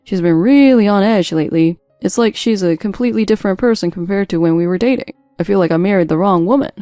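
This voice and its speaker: A self-centred husband from an audio story, played by a woman, slippery and dismissive. The tone is dark and soft-edged at a middling female pitch, recorded dry. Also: fast talker, no room sound, soft background music, natural voice. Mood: complaining. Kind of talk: scripted audio story dialogue.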